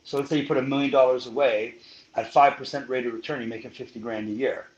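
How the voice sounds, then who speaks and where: A man talking on a podcast as a guest, laying out money advice in an emphatic, explanatory way and slowing down to stress his points. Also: medium pitch, steady tone, dull audio. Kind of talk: casual podcast chat.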